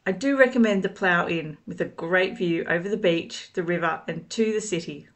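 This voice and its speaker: A middle-aged woman narrating a travel vlog voice-over, calm and informative, giving tips and recommendations. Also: on the low side, moderate pace. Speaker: travel vlogger. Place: outdoors at a riverside city park.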